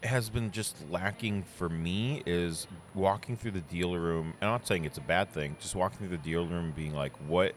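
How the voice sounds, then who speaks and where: A man's voice: sits low, normal speed, hardly any pauses, a little hiss or hum. A man co-hosting a podcast in casual chat, easygoing and a little jokey, rambling with fillers. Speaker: podcast co-host.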